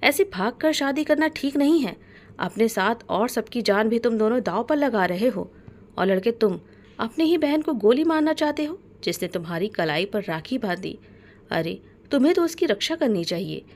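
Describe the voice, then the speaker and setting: A bold young man from a storybook romance, voiced by a woman narrator. He is protective and commanding. He speaks in stern orders and threats and is quick to anger. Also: fairly high pitch, animated. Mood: angry scolding. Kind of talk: dramatic storybook narration in Hindi.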